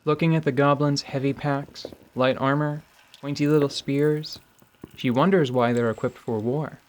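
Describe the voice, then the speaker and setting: A man's voice: mid-range voice, steady tone, moderate pace, a little hiss or hum. A man reading scripted narration for a tabletop fantasy audio drama.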